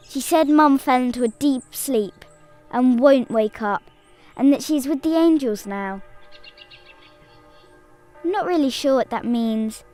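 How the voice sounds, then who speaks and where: A woman playing a sad, hopeful young girl in an audio drama, speaking simply and wistfully. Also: high voice. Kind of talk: audio drama monologue and dialogue.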